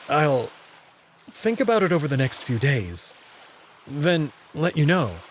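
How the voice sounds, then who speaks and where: A man's voice: on the high side, big pitch swings, measured pace, slight background noise. A man voicing a wary but considerate human hero in a visual novel, in a guarded conversational way. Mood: hesitant. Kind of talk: visual novel character reading.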